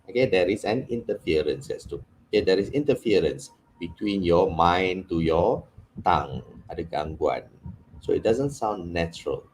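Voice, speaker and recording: A man's voice: sits low, expressive, normal speed, darkish tone, slight background noise. A man with a Malaysian English accent giving a communication workshop, often checking in with "okay" and dropping in Malay phrases. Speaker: communication trainer. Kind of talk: workshop lecture.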